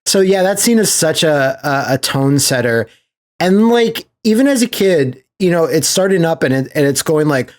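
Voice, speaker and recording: A man with a slightly higher-pitched voice chats as a podcast host. He talks casually, rambling along with enthusiasm as he retells things. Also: animated, moderate pace.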